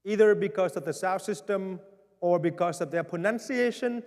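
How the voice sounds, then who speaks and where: A parish pastor with a Vietnamese accent speaks to his congregation in a high voice, earnest and pleading, with a wry, gentle humor. The sound has the long, lingering reverb of a large church. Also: normal speed. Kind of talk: town hall address to parishioners.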